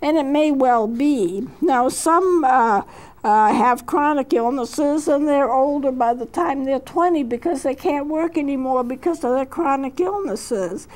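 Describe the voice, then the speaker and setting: High-voiced woman in her eighties. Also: a little mellow, rough recording. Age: 80s. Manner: unhurried, with frequent fillers and restarts. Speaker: counselor and show host. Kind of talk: reflective talk with read-aloud poetry.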